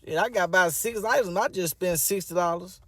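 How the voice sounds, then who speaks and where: A man's voice: high-pitched, fairly quick, a bit bright, slight background noise. A man comically and profanely griping to camera. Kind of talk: storytelling to camera.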